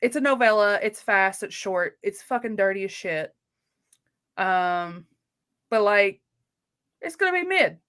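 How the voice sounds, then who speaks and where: A woman's voice: medium pitch, lively intonation, a little slow, long pauses, a bit bright, clear, resonant tone. A woman co-hosting a casual live book chat, effusive and candid, rambling along with plenty of filler "likes". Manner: effusive, rambling, uses lots of "like". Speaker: co-host of a live book readalong.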